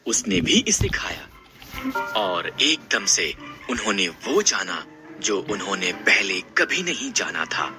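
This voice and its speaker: A man's voice: lowish voice, big pitch swings, slow, music in the background. A man narrating a scripted Bible story in a dramatic storytelling style.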